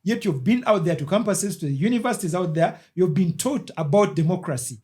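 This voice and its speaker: High-voiced man chatting casually. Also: animated, darkish tone. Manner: rambling, halting, with many restarts. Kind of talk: casual chat.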